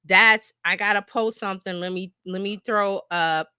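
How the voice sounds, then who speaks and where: A woman teaching informally on a live stream as a marketing coach, conversational and animated, often acting out imagined remarks and checking in with listeners. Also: medium pitch, normal speed, clear, resonant tone, no echo, studio quality.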